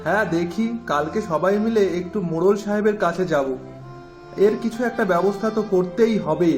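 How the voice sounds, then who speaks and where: A man's voice: high voice, brisk, soft background music, close to an everyday voice. A man with a Bengali accent playing an anxious, earnest villager in a folk-tale drama. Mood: worried. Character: anxious, civic-minded poor male villager in a folk tale.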